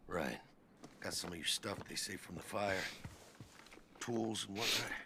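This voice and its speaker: An actor voicing a blunt, gruff, plain-spoken man in game dialogue. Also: low voice, a little slow, background noise. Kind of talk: video game voice acting.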